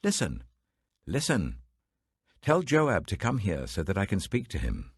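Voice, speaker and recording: Man voicing an earnest, husky wise woman. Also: low voice, wildly swooping pitch, unhurried, no room sound, studio quality, pitched above the performer's own voice, full character voice. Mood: urgent calling. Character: wise, pleading woman of an ancient city.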